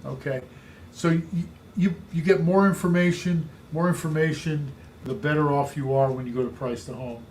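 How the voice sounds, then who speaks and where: Male sales trainer, booming voice, slightly high pitch, emphatic repeating delivery, faint room noise. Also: steady tone, normal speed, a little mellow, rough recording. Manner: emphatic, repetitive coaching delivery. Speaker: real estate sales trainer. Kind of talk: instructional podcast talk.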